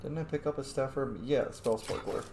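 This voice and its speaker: Guy doing chill game commentary n roleplay, normal pitch, echoey room, bit of background noise. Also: normal speed. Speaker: gamer doing a roleplay playthrough. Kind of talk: casual game commentary, reading character lines aloud.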